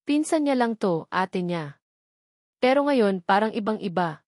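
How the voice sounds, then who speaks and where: Woman reading a love story, steady voice, medium pitch, dry close sound. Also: unhurried, dry recording. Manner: steady storytelling, mixing in English phrases. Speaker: narrator. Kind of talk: scripted romance story narration.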